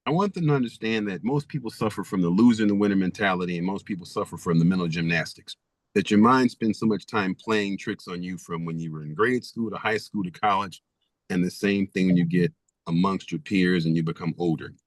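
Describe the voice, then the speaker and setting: Man, low voice, dry close sound, emphatic, repeating rhetorical questions, interview guest. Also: brisk, no room sound. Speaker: guest author. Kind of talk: interview conversation.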